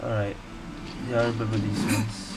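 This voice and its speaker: A man's voice: lowish voice, flat delivery, normal speed. A man giving casual gameplay commentary, musing aloud in short questions and remarks. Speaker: gaming video creator. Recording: loud constant background noise.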